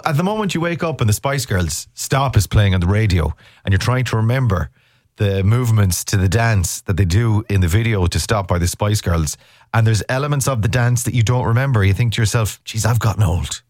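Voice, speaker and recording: A man's voice: on the low side, brisk, dry recording. A man hosting a radio show, chatting casually and jokily, with a blocked nose from a mild cold. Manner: jokey, rambling, bunged-up from a cold. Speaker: radio host.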